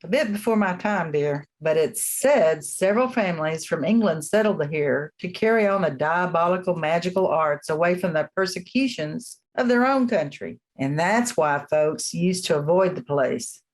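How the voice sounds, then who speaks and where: A woman's voice: on the low side, lively intonation. A woman playing an elderly small-town local in a radio play, telling old lore in a folksy, matter-of-fact way. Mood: folksy, mysterious. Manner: folksy, unhurried storytelling.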